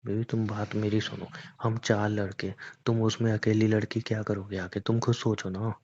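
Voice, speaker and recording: A man's voice: fairly low pitch, little pitch movement, normal speed, a little mellow. A man playing a stubborn, teasing young boyfriend in a romantic phone-call roleplay, argumentative and coaxing in casual conversation. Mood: exasperated, coaxing. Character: stubborn, teasing young boyfriend defending his boys' trip. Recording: dull lo-fi phone call recording.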